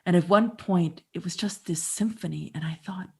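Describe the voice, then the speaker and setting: A woman in her fifties from Canada, performing a conversational first-person monologue with dramatic pauses. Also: fairly low pitch, creaky, warm tone, breathy edge. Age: 50s. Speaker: storyteller and musician.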